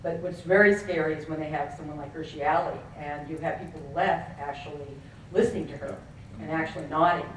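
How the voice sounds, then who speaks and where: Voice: mid-range voice, steady tone, a little fast, a little hiss or hum. A professor in the audience making a point to a panel, earnest and rambling with many asides. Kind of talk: conference audience question. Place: conference hall. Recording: very echoey hall from far off.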